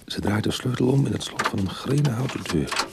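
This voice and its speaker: A man playing a streetwise reformed burglar who tells his own uneasy story in a radio drama, husky and confiding. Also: lowish voice, lively intonation, brisk, noisy background. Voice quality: husky, breathy. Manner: hushed confiding narration, exclamatory in dialogue.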